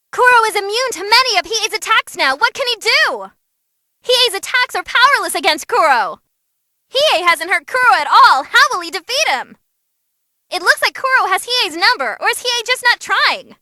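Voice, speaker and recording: Squeaky, excitable young demon girl announcer. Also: no echo, studio quality, full character voice. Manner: bubbly, cheeky, dramatic. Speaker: fight commentator. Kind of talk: animated fight commentary.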